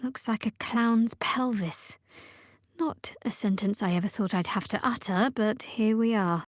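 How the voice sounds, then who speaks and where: A woman playing a guarded, sardonic young cleric of a dark goddess in a video game, speaking in a dry, wry manner. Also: medium pitch, a little slow, no room sound, lightly acted. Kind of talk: video game character voice lines. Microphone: close studio microphone.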